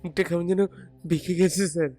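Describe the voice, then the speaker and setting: A man acting a frightened, apologetic young labourer in an audio detective drama, halting, stammering and pleading with deference. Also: high voice, fairly even intonation, dark tone, faint music behind. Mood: frightened, agitated.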